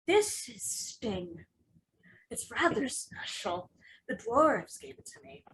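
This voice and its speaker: Over a clearly noisy background, a woman plays an elderly hobbit uncle in a fantasy drama reading. The voice is high and unhurried, fond and wistful, with a weary halt in it. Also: huge pitch swings, fairly bright tone, exaggerated voice. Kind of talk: script table read.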